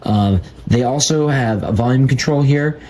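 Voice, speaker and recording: A man's voice: mid-range voice, normal speed, a little mellow, a little hiss or hum. A man giving a casual, approving product demo in an informal, step-by-step way. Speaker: product reviewer.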